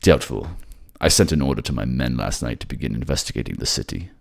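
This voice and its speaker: A man voices a brooding warlord king from a fantasy audio drama. His voice is extremely low with a creaky, gravelly edge. He speaks with curt, proud authority. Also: animated, fast talker, full character voice. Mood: scornful. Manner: brooding, curt, commanding, disdainful. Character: gruff, menacing, scornful warlord king, fiercely protective lover.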